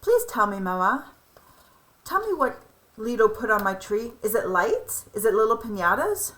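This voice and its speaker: A woman voicing an eager, excitable little boy in a children's storybook, with an excited, pleading manner. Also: a little high, normal speed, low-quality recording.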